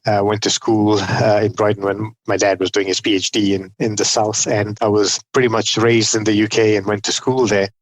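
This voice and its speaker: A man's voice: lowish voice, level tone, normal speed, hardly any pauses, dry recording, poor audio. A middle-aged man from Malaysia, a podcast guest telling his life story in a chatty, self-deprecating way. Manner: chatty, self-deprecating, quotes himself often. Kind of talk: conversational storytelling.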